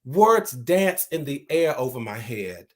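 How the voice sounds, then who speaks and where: A man talking earnestly to his viewers about writing and then reading one of his own poems aloud in a slow, measured way. He encourages his listeners and often repeats words while he searches for the right ones. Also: mid-range voice, big pitch swings, fairly bright tone. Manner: slow, measured recital when reading the poem. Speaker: poet and channel host.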